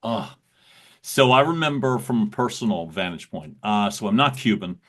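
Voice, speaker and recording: A man hosting a podcast interview, with a loud voice and an affirming way of speaking. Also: lowish voice, expressive, moderate pace. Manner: warm, loud voice, affirming listener. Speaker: podcast host. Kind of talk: casual interview.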